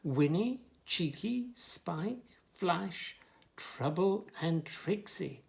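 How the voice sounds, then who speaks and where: A flustered storybook dog, as a male reader puts him on, explaining patiently in exclamations. The voice swings widely in pitch and has a gravelly creak. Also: lively intonation, extremely slow, full character voice. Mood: harried. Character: flustered, patient dog looking after many unruly puppies.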